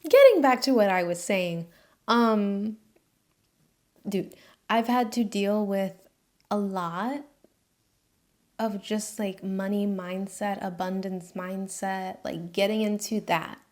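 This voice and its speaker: A woman's voice: medium pitch, takes it slowly, pauses often, a little mellow. A woman in her twenties with an American accent, a freelance designer talking candidly to camera in a casual vlog, with slangy asides. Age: 20s.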